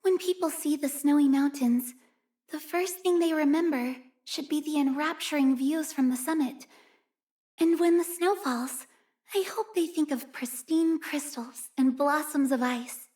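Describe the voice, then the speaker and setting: A young female mountain rescuer from a video game. Her voice is high and girlish, and she comes across as gentle and sincere. She speaks politely, with real care for the people around her. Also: a little slow. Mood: earnest, wistful. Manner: earnest, caring, polite. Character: earnest, gentle young female snow-rescue team member. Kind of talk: video game character voice lines.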